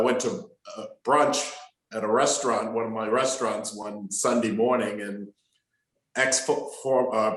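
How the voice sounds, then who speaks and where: A low-voiced man in his sixties speaks on a video call panel, measured and halting, pausing on fillers. His voice carries a noticeable echo from the room around him. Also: a bit bright. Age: 60s. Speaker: company owner and panelist. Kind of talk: online panel discussion.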